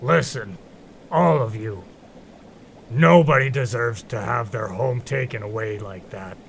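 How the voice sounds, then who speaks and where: A man's voice: mid-range voice, measured pace, gravelly, slight background noise. A man playing a laid-back, reluctant but kindly young magician in an audio drama, casual and wry. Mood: earnest.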